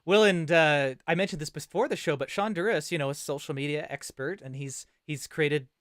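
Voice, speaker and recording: Enthusiastic male livecast host, slightly higher voice. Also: fairly quick, a bit bright, no room sound, studio quality. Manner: enthusiastic, affirming. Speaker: host and interviewer. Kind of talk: casual livecast interview chat.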